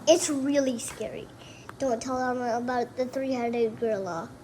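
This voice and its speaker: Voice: mid-range voice, steady tone, unhurried, a little mellow. A child chatting casually to viewers as co-host of a homemade puppet show video. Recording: noisy homemade recording.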